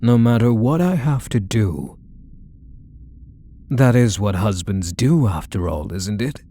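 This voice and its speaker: A man playing a possessive, controlling kidnapper husband in an ASMR roleplay, deliberate and menacing, with condescending endearments. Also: lowish voice, lively intonation, warm tone. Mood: possessive, smug. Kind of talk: scripted ASMR roleplay, addressing the listener.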